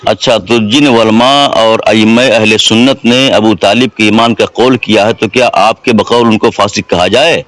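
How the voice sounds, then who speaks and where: A man's voice: on the low side, steady tone, moderate pace, few pauses, fairly bright tone, no echo. A man on a recorded phone call, formally debating religion, deferential yet insistent, pressing his points with rhetorical questions. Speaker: caller questioning a mufti.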